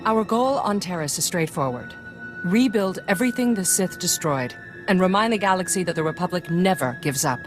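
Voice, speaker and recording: An actress playing a resolute planetary governor in a video game, composed, determined and commanding. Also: female voice, lowish voice, lively intonation, normal speed, music in the background, lightly acted.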